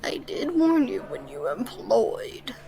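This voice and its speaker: A woman's voice: fairly high pitch, big pitch swings, slow pace, gravelly, crowd noise, exaggerated voice. A woman playing a stern, cold, sneering male wizard schoolteacher in an audio drama, speaking in a clipped, disdainful way. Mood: grave, suspicious.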